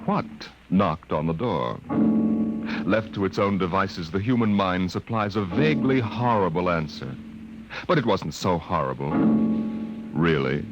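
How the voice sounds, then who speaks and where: A man hosting a 1950s radio show with dramatic scripted narration. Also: sits low. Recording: muffled old radio recording, loud music.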